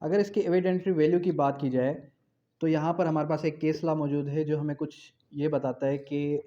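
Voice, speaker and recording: Higher-voiced Pakistani man lecturing, dull audio. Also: level tone, moderate pace, mellow. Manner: didactic, repeats phrases and asks himself questions. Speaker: law lecturer. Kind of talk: explanatory law lecture mixing in English terms. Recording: dull, lo-fi sound.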